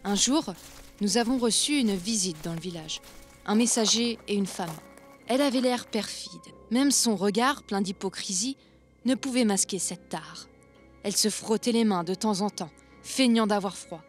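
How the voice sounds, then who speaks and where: Female storyteller, mid-range voice, solemn literary delivery, dark satirical fable with music underneath. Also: mid-range voice, normal speed. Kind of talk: scripted narration of a dark satirical folk tale.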